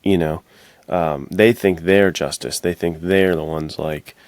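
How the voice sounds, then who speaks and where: A man's voice: low voice, fairly even intonation, a little slow, no echo. A man co-hosting a podcast, discussing films in an informal, slangy, conversational way. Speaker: podcast co-host. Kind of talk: casual film discussion.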